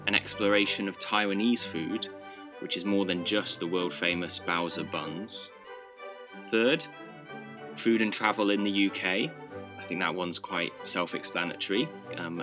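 A young man co-hosting a casual food podcast, explaining things in a measured way with frequent restarts. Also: fairly low pitch. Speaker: co-host. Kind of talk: casual chat.

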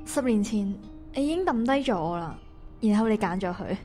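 A woman acting as a composed, probing and quietly wounded first love in a Cantonese radio drama. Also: mid-range voice, animated, fairly quick, lightly acted. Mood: quietly hurt. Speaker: voice actor playing the first love. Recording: music under the dialogue.

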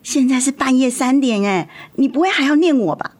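一位女演员在广播剧中饰演十七岁、爱赌气又叛逆的姐姐。. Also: female voice, sits high, lively intonation, brisk, darkish tone. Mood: annoyed, defensive. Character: sulky, defiant seventeen-year-old girl, elder sister. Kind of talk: radio drama acting.

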